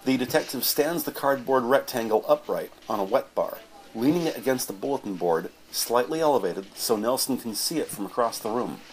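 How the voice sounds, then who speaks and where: A man's voice: medium pitch, animated, a little fast, rough recording. A man narrating a scripted audio drama in a descriptive storytelling style.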